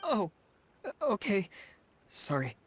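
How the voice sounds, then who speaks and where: A man's voice: high-pitched, wildly swooping pitch, slow, slight background noise, exaggerated voice. A man playing a nervous, meticulous civil servant in a video game, awkward, hesitant and over-precise. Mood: apologetic.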